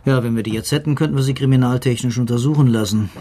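An actor in a radio crime drama playing a skeptical, matter-of-fact police detective with a dry, probing manner. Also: male voice, mid-range voice, very fast, dark tone, lightly acted. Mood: businesslike. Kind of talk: naturalistic German radio crime drama acting.

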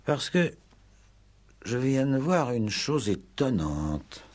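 An actor playing a calm, probing police inspector in a radio play, measured and deliberate as he questions. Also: medium pitch. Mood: stern, pressing. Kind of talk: 1960s French radio play acting.

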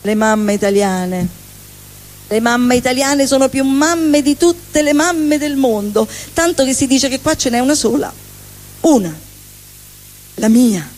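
A woman's voice: high-pitched, measured pace, darkish tone. Woman comic, sarcastic stand-up, rattles off lists, live on stage. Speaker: comedian. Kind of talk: stand-up monologue. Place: stage at a live benefit evening.

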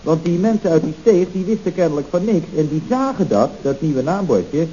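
An actor playing a deferential employee who tells things in a hesitant, colloquial, rambling way, in a radio comedy serial. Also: male voice, a little high, big pitch swings, fairly quick, mellow, husky. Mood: agitated reporting. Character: deferential, rambling male employee reporting to his boss. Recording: dull, noisy old radio recording.

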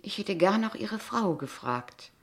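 An actress in a German radio play as a polite, probing kindergarten teacher, speaking in a measured, formal, pointed way. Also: female voice, mid-range voice, lively intonation. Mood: insistent, probing. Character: polite, insistent, probing kindergarten teacher, a young unmarried woman.

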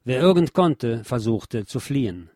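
A man reading scripted documentary narration in a measured, solemn, literary manner. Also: mid-range voice, animated, dark tone, no room sound, studio quality.

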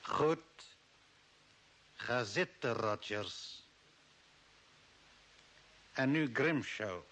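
A man's voice: mid-range voice, lively intonation, very slow, a bit bright, heavily acted. An actor playing a cold, authoritarian old man from Earth who serves the Martians in an older Dutch radio play, commanding and scornful. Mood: commanding, controlled. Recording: hissy, noisy old radio recording.

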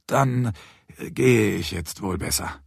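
A man's voice: fairly low pitch, slow, gravelly, breathy edge, no room sound, clean studio sound, exaggerated voice. A man voicing a baffled, courteous police superintendent in an audiobook, hesitant, restrained and questioning. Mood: subdued, courteous. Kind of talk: audiobook character dialogue.

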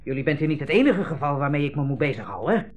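A man's voice: fairly high pitch, sing-song, fast talker, soft background music. A man acting a brusque, cynical army captain in a 1960s Dutch radio play, with an offhand, dismissive manner. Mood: brusque, impatient. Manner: hesitant hemming, offhand, dismissive.

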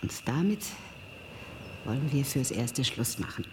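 An animated, ambitious scientist over noisy ambience. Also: female voice, extremely low pitch, a little slow. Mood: assured, instructive. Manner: formal, theatrical, hushed and coaxing at times. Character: ambitious female computer scientist presenting her virtual reality invention. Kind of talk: German radio drama acting.

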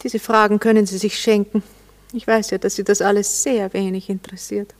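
Female voice, actress, medium pitch, Viennese accent, married society lady, coolly mocking, imperious, flirtatious, radio drama. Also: flat delivery, brisk, natural voice. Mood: imperious, teasing. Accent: Austrian, Viennese. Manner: sarcastic, imperious, playfully reproachful. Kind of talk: German radio drama dialogue.

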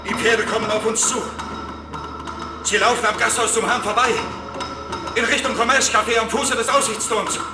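Town crier on a loudspeaker, high urgent voice, distant and echoing, ominous radio drama. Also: male voice, steady tone, moderate pace, pauses often. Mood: urgent, mounting alarm. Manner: proclaiming, ominous, repetitive calls. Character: urgent male town announcer warning over a loudspeaker. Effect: public address loudspeaker. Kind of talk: dramatic radio play announcement. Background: music.

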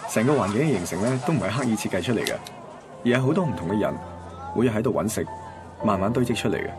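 A thoughtful, casual man with a Hong Kong Cantonese accent in a radio drama. His voice sits fairly low and he talks quickly and directly. Music is clearly audible behind him. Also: lively intonation. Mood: reflective. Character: easygoing, thoughtful male amateur photographer.

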